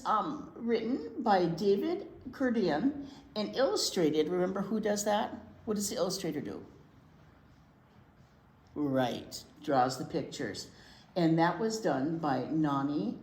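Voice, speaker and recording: A woman reading a picture book to young children in an expressive, chatty way, often asking them questions. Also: lowish voice, slow pace. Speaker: children's library teacher reading aloud. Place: indoor room at a library. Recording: roomy echo, noticeable background noise.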